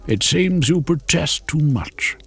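A man's voice: fairly high pitch, animated, takes it slowly, creaky, fairly bright tone, slight background noise, voice pushed up, full character voice. A man doing a snide old bearded treasurer. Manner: sly, mocking, drops French phrases.

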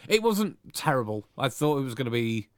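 A man's voice: mid-range voice, sing-song, fairly quick, no echo, studio quality. A man co-hosting a podcast, rambling in an easygoing casual chat. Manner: rambling, easygoing, restarts his thoughts. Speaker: podcast co-host.

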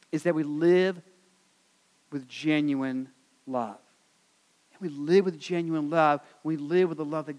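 A man's voice: on the high side, long reverb tail. An American man preaching a sermon as pastor, earnest and repetitive, with many fillers and restarts. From United States.

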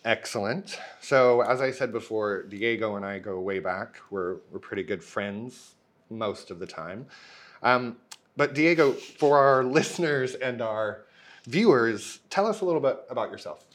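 A male host leading a casual interview, friendly, with quick follow-up questions. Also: medium pitch, animated, fairly bright tone.

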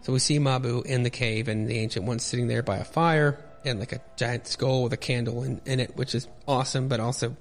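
A man's voice: mid-range voice, steady tone, brisk, few pauses, faint music behind. A man hosting a podcast, giving joking, rambling commentary with mock indignation as he reads a comic book aloud. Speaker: podcast host.

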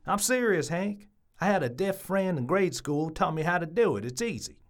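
A man's voice: high voice, animated, moderate pace. A man playing an earnest, nosy ballplayer in an audio drama. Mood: earnest, curious. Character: earnest, nosy male ballplayer who reads lips.

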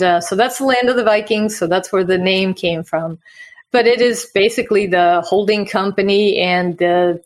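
A woman from Sweden who moved to the US as a child, explaining things conversationally as an investor guest in an interview. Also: lowish voice. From Sweden, moved to the US at nine. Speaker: podcast guest, real estate investor. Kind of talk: casual interview answers.

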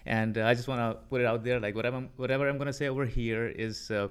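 Clear-voiced, halting Pakistani man. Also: mid-range voice, steady tone. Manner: halting, hesitant delivery with frequent fillers. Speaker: interview guest, political commentator. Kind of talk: conversational podcast interview.

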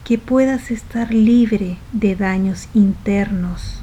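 A woman leading a guided meditation softly and without hurry, phrase by phrase, letting the ends of her phrases trail off into breath. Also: medium pitch, poor audio. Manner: soft, unhurried, phrase by phrase with trailing breathy endings.

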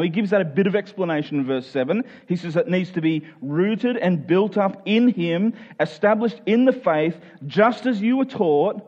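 A male preacher with a fairly high voice teaches from scripture in a conversational way. He explains each point, repeats key phrases and checks that listeners are following. The recording sounds a little dull and lo-fi. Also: a little mellow. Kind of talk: expository Bible teaching sermon, conversational.

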